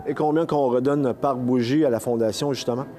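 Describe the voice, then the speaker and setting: A man's voice: medium pitch, steady tone, brisk, mellow. A man with a Quebec French accent interviewing someone in the field as a local TV reporter, asking friendly, informal questions.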